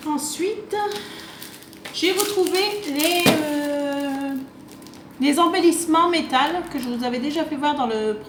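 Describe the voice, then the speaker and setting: Woman, slightly high voice, casual hesitant craft vlog, rambling, roomy echo, clear background noise. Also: unhurried. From France, half Italian. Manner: hesitant, rambling amateur presenting. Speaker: craft vlogger showing a shopping haul. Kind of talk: casual unscripted chat to camera. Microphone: phone on a table. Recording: roomy echo, clearly noisy home recording.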